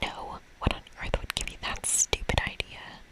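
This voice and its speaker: A woman's voice: normal speed, natural voice. A woman voicing an irritable, scheming young female monster from a video game, snappy and melodramatic, all in a whisper. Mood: defensive. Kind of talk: whispered video game dialogue read aloud.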